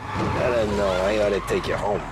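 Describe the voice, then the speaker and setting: A steady young gangster, played by a man who talks in a dry, level way. His voice sits deep, with a loud rumble of car noise beneath it. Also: normal speed, close to an everyday voice. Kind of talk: video game cutscene acting.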